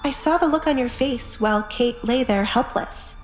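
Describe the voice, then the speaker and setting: A woman's voice: a little high, normal speed, roomy sound, voice pushed up, natural voice. A woman playing a guarded, commanding young noblewoman in an audiobook drama, speaking in a firm, controlled way. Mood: frank, assured. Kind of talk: audiobook drama character acting. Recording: music behind the voice.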